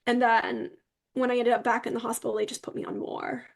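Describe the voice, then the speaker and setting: Young woman with a very creaky voice. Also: fairly high pitch, flat delivery, brisk. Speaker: interview guest. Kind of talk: candid personal conversation.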